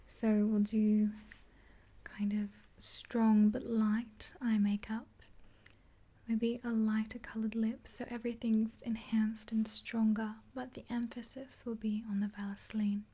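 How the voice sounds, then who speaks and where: Soft whispering young woman, breathy and even. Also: fairly high pitch. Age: 20s. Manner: soothing, hesitant, unhurried. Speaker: ASMR video creator playing a makeup artist friend. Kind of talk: whispered ASMR roleplay, one-sided chat with the listener. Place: small indoor room, dark backdrop. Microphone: close to the mic, facing camera.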